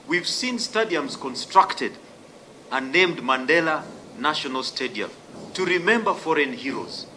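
Middle-aged man, bright voice, aggrieved, faint hiss. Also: fairly high pitch. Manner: aggrieved, emphatic. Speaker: member of parliament. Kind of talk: press statement. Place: committee room. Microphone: desk gooseneck mic.

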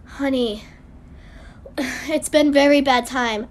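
A woman voicing a gentle, worried mother with a coaxing manner in an amateur game roleplay drama. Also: high voice, slow.

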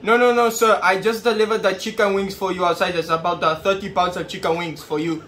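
A man in his twenties making a prank phone call with feigned seriousness, halting and addressing the listener as sir. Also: high voice, steady tone, fairly bright tone, slight background noise. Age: 20s. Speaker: prank caller. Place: small plain room indoors.

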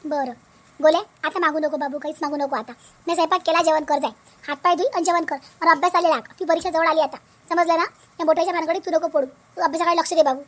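A woman voicing a shrewd, aggrieved village wife and mother in a family comedy cartoon, brisk and practical, with a rural Vidarbha Marathi accent. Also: very high-pitched, fairly even intonation. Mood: instructing.

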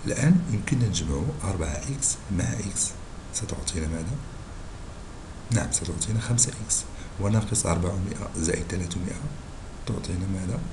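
A male math teacher with a Moroccan Arabic accent, hoarse and husky from a cold, explaining a lesson slowly and patiently and checking in with his students. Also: low-pitched, background noise. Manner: slow and patient, checking in with listeners. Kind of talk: screen-recorded math lesson.

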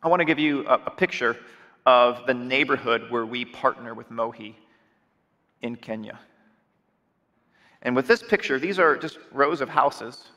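A man's voice: mid-range voice, unhurried, long pauses, pushed and bright. A man in his forties preaching an earnest sermon appeal from a church stage, often restarting and repeating words. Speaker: pastor. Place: dark church stage with screens. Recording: long reverb of a large hall.

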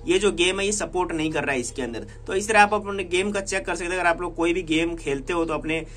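A man's voice: high-pitched, quick pace, fairly bright tone, faint music behind. A man with an Indian accent narrating a casual hands-on tech tutorial, explaining repetitively and mixing in English words. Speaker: tech vlogger demonstrating a phone feature.

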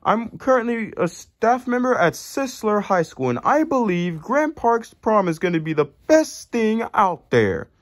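A man's voice: sits high, sing-song, unhurried, few pauses, no room sound, clean studio sound, pitched above the performer's own voice. A man voicing an eager, overly polite young woman helper in an improvised roleplay. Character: cheeky girl staff helper.

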